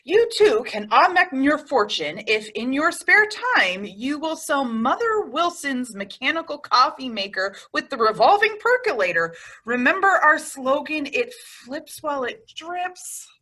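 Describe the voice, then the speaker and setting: A woman's voice: high-pitched, sing-song, a little slow, bright, forceful tone, full character voice. A woman playing a sensible, exasperated roommate with a dry, scolding manner in an old-time radio comedy. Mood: reading aloud, mocking.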